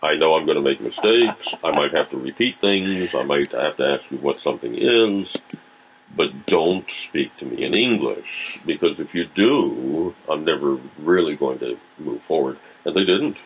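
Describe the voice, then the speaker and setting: A man's voice: very deep voice, lively intonation, moderate pace, a little hiss or hum. A man from Tennessee, a podcast guest talking reflectively in casual conversation and searching for his words. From Tennessee, USA. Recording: tinny phone-like sound.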